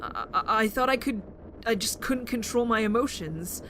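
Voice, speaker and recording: A woman's voice: a little high, animated, moderate pace, lightly acted. A woman voicing a nervous but wry, friendly teenage boy in an audio drama, hesitant and prone to stammering. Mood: anxious. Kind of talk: fan audio drama acting. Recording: noisy ambience behind the voice.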